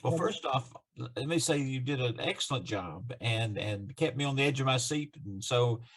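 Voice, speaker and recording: Gravelly, husky man moderating. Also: medium pitch, animated, normal speed, fairly bright tone. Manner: polite, hesitant. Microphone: video call.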